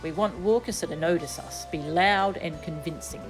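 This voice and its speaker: A woman voicing a formal, resolute young nobleman from a fantasy game in a measured, commanding way. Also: on the low side, over music, natural voice, low-quality recording.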